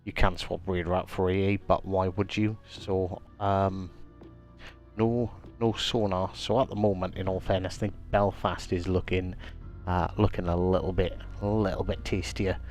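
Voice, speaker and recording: A man talking casually through a live game stream, a bit stuffy from a cold, with a lowish voice. He chats easily and stops to greet viewers by name, with faint music playing softly behind him. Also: flat delivery, normal speed. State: slightly congested with a cold.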